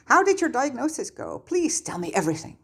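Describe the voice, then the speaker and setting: An earnest know-it-all schoolgirl, put on by a woman narrator, pitched up and talking fast. She is bossy and emphatic, a chatterbox from a storybook. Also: huge pitch swings, vocal fry, a bit bright, breathy edge, heavily acted. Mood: eager. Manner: urgent, rapid, emphatic.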